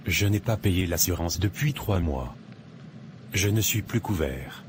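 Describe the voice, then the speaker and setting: A man playing a vain, broke young office worker who lives beyond his means in an animated moral tale. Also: deep voice, measured pace, noisy background. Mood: worried.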